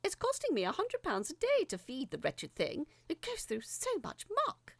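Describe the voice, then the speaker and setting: A woman's voice: high-pitched, wildly swooping pitch, moderate pace, gravelly, no room sound, pitched above the performer's own voice, full character voice. A woman voicing a haughty, exasperated prime minister ranting through a comic letter of complaint. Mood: exasperated, pleading. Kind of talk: dramatic reading of a comic letter.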